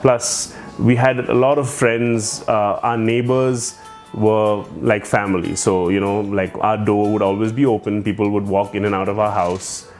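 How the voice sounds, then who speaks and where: A man's voice: fairly low pitch, little pitch movement, measured pace, a little mellow, soft background music. A man from India with an Indian accent, talking casually about himself in an interview. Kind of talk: casual personal interview account.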